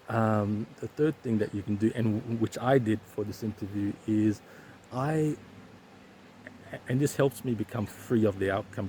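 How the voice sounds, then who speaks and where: A man's voice: on the low side, mellow, a little hiss or hum. A man vlogging outdoors on a hike, talking casually about his stutter with frequent repeated sounds and restarts. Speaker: YouTuber sharing stuttering advice. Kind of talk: casual vlog talk to camera. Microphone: weak handheld setup, shaky.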